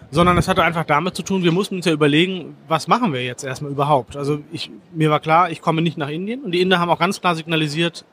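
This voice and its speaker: A German man in his forties, a former foreign correspondent, thinks aloud as he answers, pausing on fillers and restarting sentences. His voice sits a little high for a man. A faint hiss runs under the recording. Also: fairly bright tone. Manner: measured, thoughtful, with fillers and restarts. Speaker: foreign correspondent, interview guest. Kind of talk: reflective interview answers.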